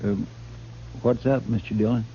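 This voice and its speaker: An actor playing an eager, deferential assistant to a western marshal, folksy and respectful, in a radio drama. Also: male voice, lowish voice, steady tone, normal speed, mellow, lightly acted. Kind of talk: old-time radio western acting. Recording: muffled, very noisy old radio recording.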